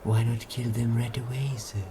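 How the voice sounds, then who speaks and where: A man's voice: mid-range voice, flat delivery, moderate pace, noisy background, natural voice. A man playing an obsequious, bloodthirsty henchman in a radio drama, with a servile, deferential manner. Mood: fawning, sinister. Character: obsequious, bloodthirsty male henchman to a scheming master.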